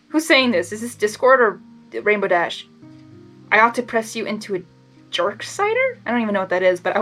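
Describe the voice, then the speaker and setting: A woman's voice: medium pitch, a bit bright. A woman narrating fan fiction expressively, slipping into casual, emotionally invested asides.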